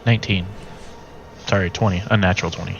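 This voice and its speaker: A man's voice: sits low, steady tone, fast, gravelly, highs cut off, slight background noise. A man playing a tabletop game, casually narrating his character's actions. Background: music.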